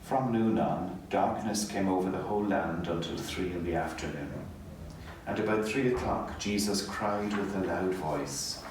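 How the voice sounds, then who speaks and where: A man reading the Gospel solemnly as narrator, in a measured, formal manner. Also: deep voice, darkish tone. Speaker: clergy reader, Gospel narrator. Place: hospital chapel. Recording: echoey, distant mic, faint ambient noise.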